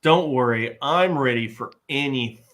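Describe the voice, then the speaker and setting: A man's voice: mid-range voice, unhurried, fairly bright tone, natural voice. A man playing a bumbling, boastful, eager sidekick with blustering false bravado at a live fantasy table read.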